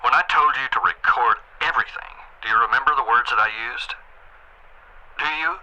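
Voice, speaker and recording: An overbearing male corporate boss in an audio drama. His voice is very bright and forceful at an average male pitch. He talks in a measured, condescending way, politely menacing and always in control. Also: muffled recording. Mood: stern, controlled. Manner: condescending, measured, passive-aggressive. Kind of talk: naturalistic audio drama acting.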